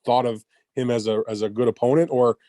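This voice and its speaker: A man's voice: mid-range voice, steady tone, a little fast, warm tone, no room sound. A man working as a reporter, asking long, roundabout questions conversationally on a media call. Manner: wordy, roundabout. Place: remote media conference call.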